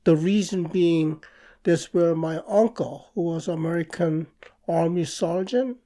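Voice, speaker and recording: Guy with a korean accent, talks real slow, pauses a lot, warm kinda dark tone. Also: a little high. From South Korea. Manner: slow, halting, with pauses and restarts. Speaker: guest artist and professor. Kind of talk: interview answers.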